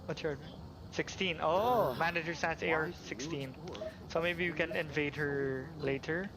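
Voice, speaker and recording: A man's voice: a little high, a little slow, background noise. A man hosting a game livestream, thinking aloud hesitantly in casual live commentary.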